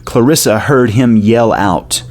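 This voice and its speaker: A man narrating a horror story in a measured, literary and ominous storytelling manner. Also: medium pitch. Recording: music behind the voice. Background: soft music.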